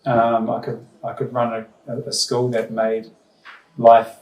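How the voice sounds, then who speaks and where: A man's voice: mid-range voice, monotone, unhurried, darkish tone. A middle-aged New Zealand man and school principal, answering interview questions thoughtfully with many ums and restarts. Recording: roomy sound from far off the mic.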